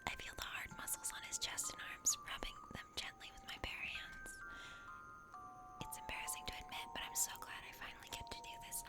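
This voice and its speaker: A woman plays a smitten young heroine of a fantasy game, narrating her adventure. The delivery is a breathy whisper, hushed and intimate, in ASMR style. Loud music plays behind the words. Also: natural voice. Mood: tender. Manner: breathy, hushed, drawn-out storytelling. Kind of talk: whispered ASMR story reading.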